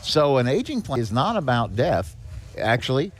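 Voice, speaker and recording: A man's voice: mid-range voice, very animated, normal speed. A man speaking as a podcast guest, explaining things in a conversational way with rhetorical questions and frequent check-ins. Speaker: guest, executive director of a seniors nonprofit.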